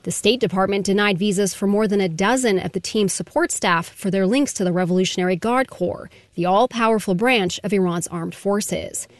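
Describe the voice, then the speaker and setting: A woman's voice: mid-range voice, normal speed, hardly any pauses, no room sound. Woman reading a radio news report. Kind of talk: scripted news narration.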